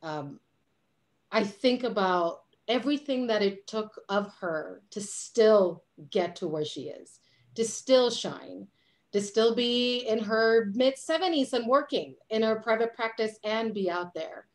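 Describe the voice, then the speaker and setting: A woman from Puerto Rico in her forties talks with lively hand gestures over a video call from a dim home office. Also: a little high, a little slow. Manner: animated, gestures a lot with her hands. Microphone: webcam on a video call.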